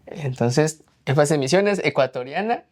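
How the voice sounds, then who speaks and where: A woman's voice: low voice, lively intonation, normal speed, darkish tone. A woman hosting a faith podcast, asking interview questions in an encouraging, conversational way.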